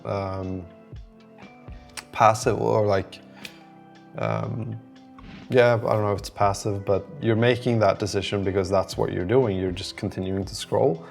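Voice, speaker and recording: Low-voiced man thinking out loud, faint music. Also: creaky. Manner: rambling and reflective, trailing off mid-thought. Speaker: app developer documenting his work on a vlog. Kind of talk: casual thinking-aloud vlog.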